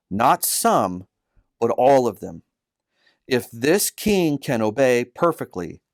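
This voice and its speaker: A man narrates a children's Bible story in an earnest tone. His pace is very measured, with deliberate phrasing and a middling pitch. The recording is dry. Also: no room sound. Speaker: author and narrator.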